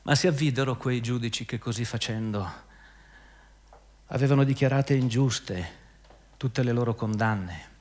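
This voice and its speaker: A man narrating a radio theatre piece in a declamatory, deliberate, literary style. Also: mid-range voice. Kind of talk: dramatic scripted narration, theatrical literary reading. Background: music with plucked guitar.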